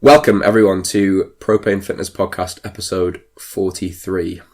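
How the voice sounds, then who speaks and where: Low-voiced British podcast host. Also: male voice, flat delivery, moderate pace, darkish tone. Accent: British English. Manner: friendly, loose, self-deprecating. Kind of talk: casual interview hosting.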